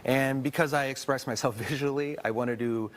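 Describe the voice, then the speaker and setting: A man's voice: mid-range voice, steady tone, normal speed, a little hiss or hum. A man talking conversationally as an illustrator being interviewed.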